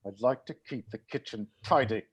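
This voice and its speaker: A man playing a grave, formal butler in a comedy table read, with a husky voice and declamatory delivery. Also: medium pitch, normal speed. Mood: composed. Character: grave, composed butler presiding over murder suspects. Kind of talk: live table read of comedy film script.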